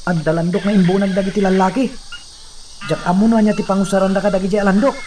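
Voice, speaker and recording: An actor playing a stubborn, defiant captive, earnest and emotional, in a radio drama recorded at a distance from the mic. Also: male voice, high voice, steady tone, fast, noisy background, close to an everyday voice. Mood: troubled.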